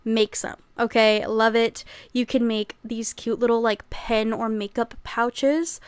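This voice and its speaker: Chatty young woman with a smooth voice. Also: a little high, fairly even intonation, measured pace, dry recording. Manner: chatty, upbeat, often ends lines with "okay?". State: losing her voice. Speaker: crochet channel host. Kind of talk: casual vlog chat.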